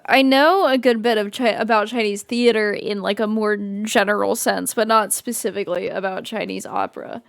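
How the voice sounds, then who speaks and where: A woman's voice: fairly high pitch, no echo. A woman giving casual, effusive reaction commentary as she plays a game. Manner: gushing, effusive. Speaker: game streamer.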